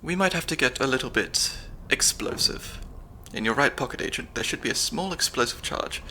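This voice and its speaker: A man's voice: medium pitch, lively intonation, moderate pace, a little hiss or hum. A young man voicing a nerdy, slightly anxious stand-in spy handler with dry wit, in an ASMR audio roleplay. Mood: analytical. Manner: analytical, dry wit, soft-spoken.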